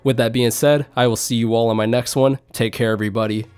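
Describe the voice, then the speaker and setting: A man hosting his own channel, giving a relaxed, casual review of studio gear and often repeating words for emphasis, recorded on a studio condenser mic. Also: mid-range voice, quick pace, over loud music. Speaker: YouTube host reviewing microphones. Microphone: studio condenser microphone.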